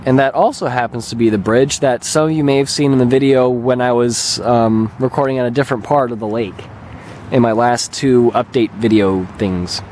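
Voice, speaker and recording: A man with a mildly hoarse, slightly raspy voice, talking casually and hesitantly as he rambles through a vlog as its host. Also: mid-range voice, steady tone, moderate pace, darkish tone, a little hiss or hum. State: mildly hoarse, recovering throat. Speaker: video channel host and gamer. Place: open lakeshore beach outdoors. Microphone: handheld camera held by the speaker.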